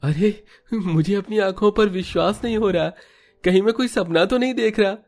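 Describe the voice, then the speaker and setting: A man's voice: high-pitched, moderate pace, darkish tone, faint music behind, voice pushed up. A man voicing an astonished, curious young village visitor in a Hindi cartoon story, exclaiming and questioning in wonder. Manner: exclamatory, wide-eyed questioning.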